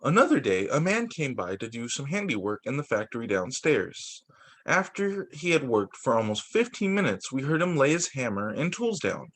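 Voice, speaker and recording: A man's voice: medium pitch, very animated, normal speed, a bit bright. A man reading a children's book aloud as a teacher, occasionally stumbling, repeating phrases and adding casual asides. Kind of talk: children's story time read-aloud.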